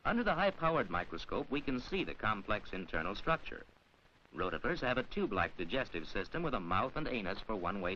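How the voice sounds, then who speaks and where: A man narrates an old educational science film in a bright, forceful voice with lively, swinging intonation. His delivery is formal, measured and carefully enunciated, reading from a script. The recording is a dull vintage film soundtrack with faint hiss. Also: lowish voice.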